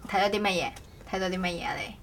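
Podcast host, woman, forward bright tone, casual and halting delivery. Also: lowish voice, fairly even intonation. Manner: halting, repeats words, self-correcting. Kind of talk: casual co-hosted podcast chat.

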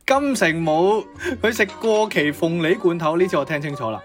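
Animated higher-pitched Cantonese man. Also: normal speed, over music. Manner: exclamatory, curious reactions. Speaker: co-host of a Cantonese pop history show. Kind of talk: casual chat, reacting and asking questions.

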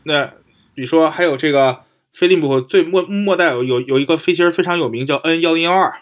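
A man's voice: on the high side, fast. A man in a casual technical discussion as a podcast co-host, often repeating phrases and checking in with tag questions. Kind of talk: casual technical discussion of portable audio players.

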